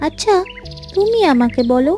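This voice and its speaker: একজন মহিলা কার্টুন রূপকথার এক চালাক, খানিকটা কর্তৃত্বপরায়ণ পরীর চরিত্রে নাটকীয় ভঙ্গিতে কণ্ঠ দিচ্ছেন।. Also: female voice, extremely high pitch, big pitch swings, normal speed, warm tone, soft background music. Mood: feigned concern, stern. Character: clever female fairy, helpful schemer, teasing and bossy. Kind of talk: animated fairy tale voice acting.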